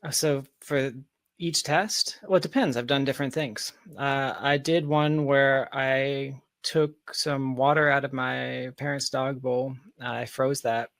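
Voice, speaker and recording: A man chatting casually as a podcast guest, telling anecdotes in an animated manner over a close mic. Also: medium pitch, takes it slowly, vocal fry, dry recording.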